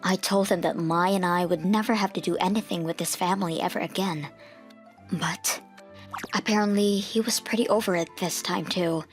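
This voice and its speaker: Low-pitched woman narrating a comic story. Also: steady tone, normal speed. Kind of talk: scripted first-person story narration. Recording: music behind the voice.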